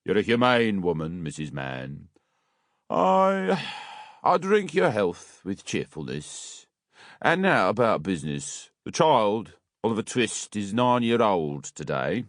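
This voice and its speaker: Man playing a pompous, deliberate parish beadle. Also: lowish voice, sing-song, no echo, studio quality, pitched above the performer's own voice, exaggerated voice. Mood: pompous approval. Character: pompous, self-important male parish beadle.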